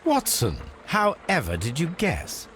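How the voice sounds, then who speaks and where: An actor playing an eccentric, cerebral Victorian English gentleman detective in a video game, speaking formally with precise, dry wit. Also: fairly high pitch, wildly swooping pitch, unhurried, a little hiss or hum, full character voice. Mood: wry amusement. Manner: formal, precise, dryly witty.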